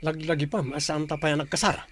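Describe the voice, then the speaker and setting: A man's voice: on the high side, steady tone, racing, fairly bright tone, a little hiss or hum, close to an everyday voice. A man acting an irritable, neglectful young husband and father in a radio drama, curt and surly. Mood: irritated, defensive.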